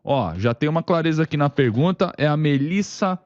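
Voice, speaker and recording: A man's voice: on the high side, lively intonation, brisk, a little mellow, no echo, muffled recording. A man with a Brazilian accent hosting a casual live panel show. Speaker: talk show host.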